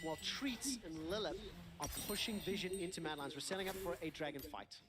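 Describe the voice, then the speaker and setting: An esports caster calling plays quickly and energetically. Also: mid-range voice. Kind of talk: esports play-by-play commentary.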